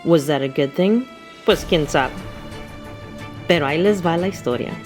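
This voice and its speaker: Low-voiced woman telling a story over music. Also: darkish tone. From United States, Southern California. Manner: theatrical, conversational, with dramatic pauses and Spanish asides. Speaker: storyteller host. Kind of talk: personal scripted storytelling. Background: music playing behind the voice.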